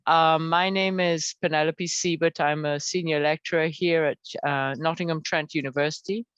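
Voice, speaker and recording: A woman's voice: deep voice, steady tone, moderate pace, clear, resonant tone, no room sound. A woman from Guyana, a senior lecturer, opening an academic webinar in a hesitant way, with many fillers and restarts. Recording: dull lo-fi call audio.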